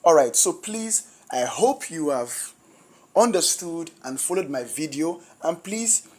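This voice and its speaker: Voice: medium pitch, expressive, a little slow. A tutor with a Nigerian accent walks through exam questions one at a time. The tutor has a flu and a sore throat, reads each answer option aloud and then explains the right one step by step. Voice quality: husky.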